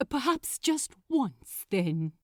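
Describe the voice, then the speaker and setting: A woman plays a genteel male angel from a storybook, polite and fond. He coaxes gently in a lilting, fairly high voice with a dry, close sound. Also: big pitch swings, slow, dry recording. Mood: kindly, delighted.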